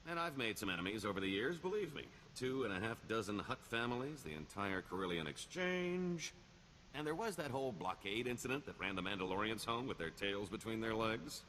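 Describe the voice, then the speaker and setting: Video game rogue: wry, scheming career criminal, middle-aged, theatrical and wheedling, low-pitched with very lively inflection. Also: male voice, fairly quick, slight background noise. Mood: sly, persuasive. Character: roguish male career criminal, wry, boastful, scheming veteran.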